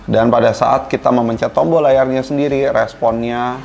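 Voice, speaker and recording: Male product-review host, mid-pitched, informal and colloquial, talks straight to the audience, light music underneath. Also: steady tone, moderate pace, creaky, a little mellow. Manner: informal and colloquial, addresses viewers directly. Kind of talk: casual product review presentation.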